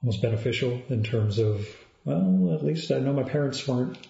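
A male podcast host asks long, winding questions, circling back and rephrasing before he gets to the point. His voice is mellow and mid-pitched. The sound is roomy and somewhat muffled. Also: expressive, normal speed, vocal fry. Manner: probing, meandering questions. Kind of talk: interview questions. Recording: roomy, slightly dull lo-fi sound.

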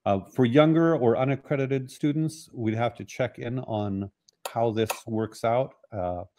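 Older man on a video call, mellow tone. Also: mid-range voice, a little slow. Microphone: video call webcam.

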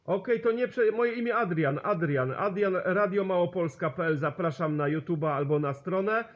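A man's voice: sits high, normal speed, lo-fi sound. A sociable man hosting amateur radio contacts, chatting and repeating call signs and sign-offs, on a radio recording. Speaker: CB radio operator and channel host. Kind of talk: amateur radio contact chat, exchanging signal reports.

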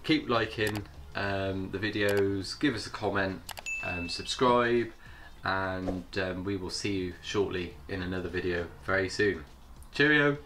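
A man with a cold, sounding hoarse, hosting his vlog in a chatty, hesitant way. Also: low-pitched, a little slow, hardly any pauses, a bit bright. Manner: chatty, hesitant with many fillers. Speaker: channel host. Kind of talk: casual vlog intro.

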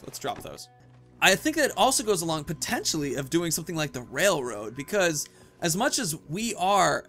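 A man in his thirties giving chatty, animated Let's Play commentary, telling a roleplay story and stressing key words. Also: fairly high pitch. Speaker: gaming video host.

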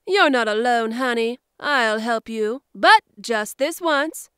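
A flirty, caring bat lady acted by a woman for an audio drama. The voice is high and very smooth, with lively swoops and a teasing, bossy edge. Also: slow pace, no room sound, studio quality. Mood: coaxing, reassuring. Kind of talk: fan audio drama acting.

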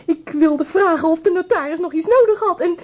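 An actress playing a distraught, timid and deferential housekeeper in a Dutch radio drama, speaking haltingly. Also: female voice, extremely high pitch, darkish tone. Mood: tearful, shaken.

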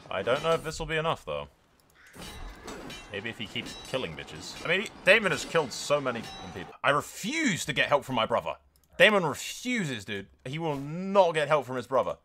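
Guy doing sweary gaming commentary, bright forceful voice, music behind him, kinda mock-epic. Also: mid-range voice, sing-song, fairly quick. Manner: mock-grand announcer phrasing, emphatic repetition. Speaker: gaming video creator. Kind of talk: casual, sweary let's play commentary with storytelling.

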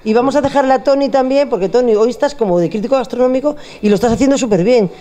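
A woman's voice: on the high side, racing, warm tone. A middle-aged woman, hosting a food show in a bar, chatty and joking, speaking into a handheld mic. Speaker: host. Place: bar with wine shelves, indoors.

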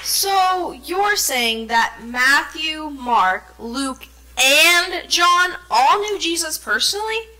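Woman as a skeptical woman investigator, high bright voice, slow questioning delivery. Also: animated, exaggerated voice, poor audio. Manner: doubtful, demanding, quizzing. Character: skeptical woman detective demanding facts. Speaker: actress in a church children's musical. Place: church stage before an audience.

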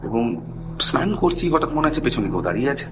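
A middle-aged man tells a personal story in a conversational, halting way, mixing in English phrases. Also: medium pitch, big pitch swings, noisy background. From India. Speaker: caller telling a personal ghost story. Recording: background music under the voice. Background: loud music.

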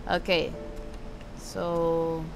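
A woman's voice: deep voice, flat delivery, extremely slow, vocal fry, low-quality recording. Casual sweary woman gamer over game music. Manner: informal, sweary, reacting aloud. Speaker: video game streamer. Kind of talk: casual let's play commentary. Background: video game music.

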